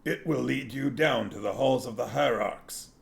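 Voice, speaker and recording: A man voicing a suspicious masked priest of a dark god with a harsh voice, in a fantasy storybook reading. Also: mid-range voice, normal speed, gravelly. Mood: curt, instructing.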